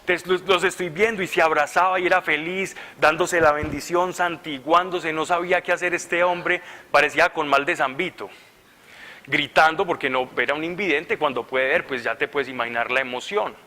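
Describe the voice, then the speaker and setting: Forceful man preaching in an echoey hall. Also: on the high side, normal speed, a little hiss or hum. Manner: animated, conversational, laughing at his own stories. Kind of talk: sermon with storytelling. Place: large hall at a retreat.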